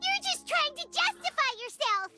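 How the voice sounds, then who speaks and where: A woman voicing a tiny, excitable, loyal fairy companion in a video game, with a squeaky childlike voice and pleading, exclamatory delivery. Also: moderate pace, loud music behind the voice. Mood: indignant. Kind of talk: cartoonish video game voice acting.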